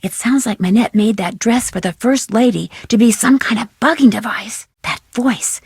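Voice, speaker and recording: An American woman playing a curious, plucky, polite young detective in an adventure video game, with clear, inquisitive delivery. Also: fairly high pitch, moderate pace, a little mellow, no room sound, clean studio sound, heavily acted. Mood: alarmed. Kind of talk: scripted adventure game dialogue.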